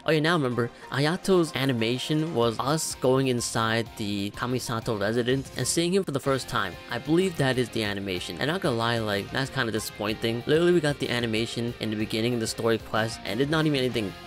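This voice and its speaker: A man's voice: mid-range voice, fast, few pauses. Man, gaming video host, casual opinion commentary, informal conversational delivery, mid-range voice, faint music behind.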